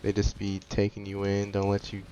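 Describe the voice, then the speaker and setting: Laid-back, lowish-voiced man commenting on gameplay. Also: monotone, fairly quick, warm tone, dull audio. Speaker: gaming commentator. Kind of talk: casual playthrough commentary. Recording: faint noise, keyboard and mouse clicks.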